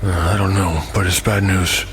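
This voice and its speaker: A man's voice: deep voice, little pitch movement, normal speed, fairly bright tone, faint music behind. A man playing a pragmatic, brash male thief in an audio drama, talking bluntly and under his breath. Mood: urgent. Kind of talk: audio drama character dialogue.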